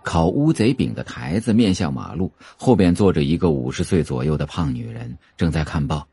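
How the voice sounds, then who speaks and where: A man's voice: rumbling low, animated, moderate pace, gravelly, mellow, breathy edge, no room sound. A man reading scripted narration for an audio drama, telling the story as a novel's narrator. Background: faint music.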